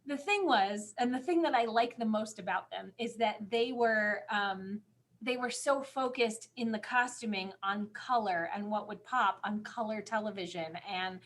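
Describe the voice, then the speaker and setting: A woman's voice: mid-range voice, moderate pace, fairly bright tone. A woman co-hosting a casual fan podcast, talking in an enthusiastic, gushing and emphatic way. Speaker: podcast co-host.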